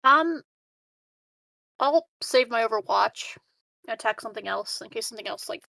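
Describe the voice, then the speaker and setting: A woman's voice: fairly high pitch, expressive, moderate pace, vocal fry, bright, forceful tone, dry recording, rough recording. A woman chatting casually as a player in a tabletop game, hesitantly thinking through her choices.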